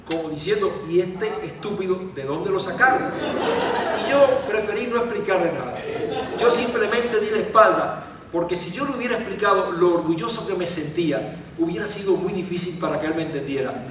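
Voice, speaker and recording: Man from Cuba, animated storyteller, distant mic, very echoey room. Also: high voice, moderate pace. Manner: animated anecdotal storyteller, self-deprecating. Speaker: author. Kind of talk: storytelling talk and answering questions. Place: large event hall. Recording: echoey hall from far off, faint noise.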